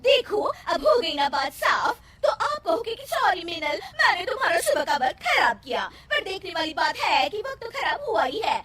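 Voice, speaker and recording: A woman's voice: very high voice, very animated, moderate pace, few pauses, sharp tone, exaggerated voice. A woman voicing a cheeky, long-winded young daughter-in-law in a cartoon story, rambling in circles with mock modesty. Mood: chatty, smug. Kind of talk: Hindi cartoon moral story acting. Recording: distant mic, faint noise.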